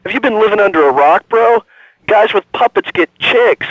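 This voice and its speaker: An actor playing an excitable slacker dude phoning a radio show, talking in earnest, slangy surfer-bro style. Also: male voice, sits high, moderate pace, no echo, dull audio. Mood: enthusiastic. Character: excitable male slacker dude puppetry fan. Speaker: radio phone-in caller.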